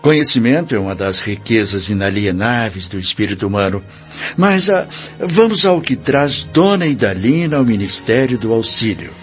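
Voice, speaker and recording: A man's voice: lowish voice, sing-song, moderate pace. An actor with a Brazilian accent playing a kindly but firm male minister in an audio drama, formal and authoritative. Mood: genial. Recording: dull lo-fi audio with music bed.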